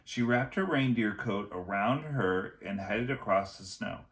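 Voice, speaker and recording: A man reading a children's chapter book aloud as a teacher would, with expressive, dramatic phrasing. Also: lowish voice, a little slow. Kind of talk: storybook read-aloud narration.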